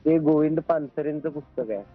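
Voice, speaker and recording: A tinny phone recording of a man with a Maharashtrian accent and a slightly high voice. He argues firmly but with restraint, repeating his points over faint music. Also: a little fast, no room sound. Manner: firm, restrained, repeats himself. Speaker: book publisher. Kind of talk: phone argument.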